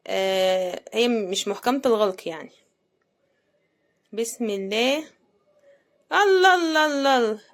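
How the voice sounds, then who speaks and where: Casting: Egyptian woman, fairly high voice, conversational unboxing host with a casual, thinking-aloud delivery. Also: a bit bright. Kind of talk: casual running commentary while unboxing.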